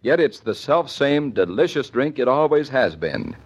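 An American man announcing in a polished, measured 1940s radio style, reading scripted sponsor copy. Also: mid-range voice, very animated, slightly rough. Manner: polished, measured sales delivery. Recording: dull old radio recording.

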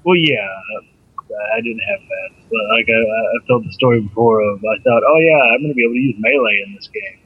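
A man's voice: mid-range voice, lively intonation, a little fast, slightly rough, no room sound. A man bantering on a gaming podcast, jokey and self-mocking, on a dull lo-fi mic. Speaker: podcast co-host. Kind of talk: casual gaming podcast chat.

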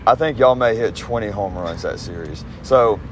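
Laid-back low-voiced male host. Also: moderate pace. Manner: laid-back, slangy, "dude" and "man". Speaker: podcast host. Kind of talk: casual interview chat. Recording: clear background noise.

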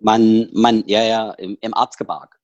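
A man's voice: on the low side, flat delivery, unhurried, darkish tone, dry recording, highs cut off. A man with a Saxon accent, a podcast guest talking casually over a headset, rambling with many fillers and restarts. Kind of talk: casual personal interview talk.

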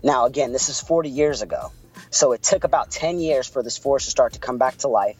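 A husky-voiced man with a Puerto Rican accent, co-hosting a podcast. He tells stories in a loose, chatty way and keeps dropping "man" into his sentences. Soft music plays faintly behind him. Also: medium pitch, fairly quick, dull audio. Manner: relaxed, chatty, says "man" a lot. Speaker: podcast co-host. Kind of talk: casual podcast storytelling.

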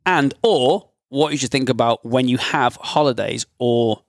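Man, slightly gravelly, podcast host. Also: mid-range voice, measured pace, no echo, clean studio sound. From North Wales, UK. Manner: informal, bantering. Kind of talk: casual podcast chat.

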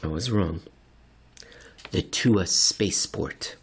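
A man reading a scripted comic science-fiction story in the first person, with a dry, deadpan, wordy delivery. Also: low-pitched, slow pace, breathy edge. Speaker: narrator.

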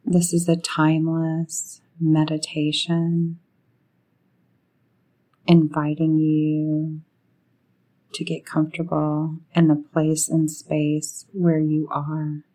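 A woman leading a guided meditation in a soothing, unhurried manner, pausing often between short phrases. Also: sits low, completely flat delivery, dark tone, poor audio.

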